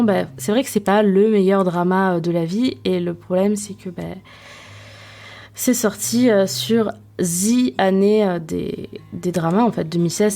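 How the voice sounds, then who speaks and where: Chatty woman with a mellow voice. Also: medium pitch. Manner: chatty, self-mocking, many fillers and restarts. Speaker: podcast host. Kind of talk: casual podcast review.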